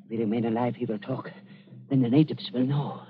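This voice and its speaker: An actor playing a nervous, pleading German doctor, a cowardly conspirator in an old-time radio drama. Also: male voice, medium pitch, steady tone, fairly quick, crowd noise, natural voice. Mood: wary. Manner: agitated, pleading. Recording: muffled vintage radio recording with music.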